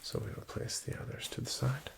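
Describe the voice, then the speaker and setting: A man whispering as a formal, dryly ironic doctor in a soft-spoken ASMR roleplay. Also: a little fast.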